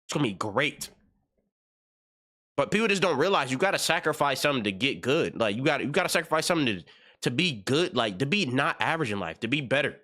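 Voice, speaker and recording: A man's voice: mid-range voice, animated, fast, sharp tone. A man in his twenties hosting a reaction channel, giving slangy, rambling commentary with many repeated words. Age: 20s.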